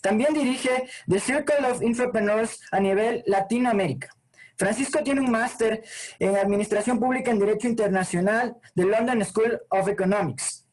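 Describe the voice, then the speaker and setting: A man's voice: sits high, a little mellow, low-quality recording. A young man from Ecuador with an Ecuadorian accent, hosting an online session and reading a formal script over a video call. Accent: Ecuadorian Spanish. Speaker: host.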